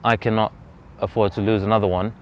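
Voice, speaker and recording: A man, telling his personal story as an interview guest outdoors in earnest, reflective, measured speech. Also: lowish voice, little pitch movement. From Zambia. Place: outdoors on a freezing day. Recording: slightly dull lo-fi outdoor recording.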